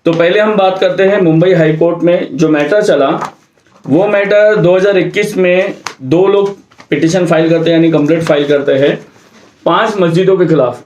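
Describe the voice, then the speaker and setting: A man's voice: high-pitched, darkish tone. A man giving an explanatory public address, speaking emphatically and step by step. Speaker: religious committee secretary. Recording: echoey room.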